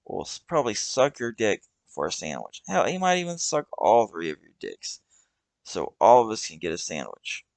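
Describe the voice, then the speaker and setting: A man voicing a chatty old male vagrant who talks loudly and mockingly. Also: on the low side, wildly swooping pitch, normal speed, vocal fry, no echo, heavily acted.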